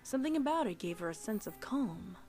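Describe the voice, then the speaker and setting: A woman's voice: mid-range voice, very animated, a little fast. A woman reading scripted story narration in a dramatic style. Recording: music behind the voice.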